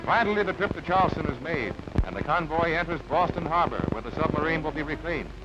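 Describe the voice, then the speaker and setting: A man giving brisk, emphatic scripted newsreel narration on a dull, lo-fi archival recording over music. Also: on the high side, lively intonation. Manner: brisk, emphatic announcer delivery.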